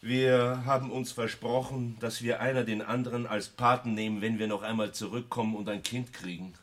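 An actor playing a good-natured, conciliatory husband and old comrade, gentle and familiar, in a radio play. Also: male voice, medium pitch, little pitch movement, normal speed, lightly acted. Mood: sheepish regret. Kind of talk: German radio play acting.

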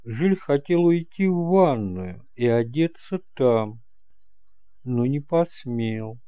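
A man narrating a detective audiobook in a measured, deliberate storytelling manner, in a homemade recording. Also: medium pitch, animated, no room sound, phone-quality sound. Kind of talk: scripted dramatic narration of a detective novel.

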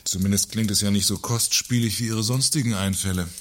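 An actor playing a grumpy, pompous, money-minded company director with sardonic manner in a satirical radio play. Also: male voice, lowish voice, steady tone, fairly quick, fairly bright tone, natural voice.

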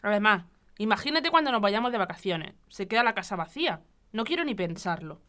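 An actress with a Spanish accent playing a fearful, defensive wife and neighbour in an audio drama. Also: female voice, medium pitch, sing-song, a little fast, bright, forceful tone. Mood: anxious, defensive. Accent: Spanish from Spain.

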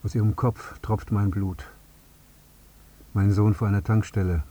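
An actor playing a numb, bereaved father and husband in a radio drama monologue, speaking in stark short sentences with a husky voice. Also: on the low side, level tone, unhurried, mellow. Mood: stunned horror. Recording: slightly dull, muffled sound.

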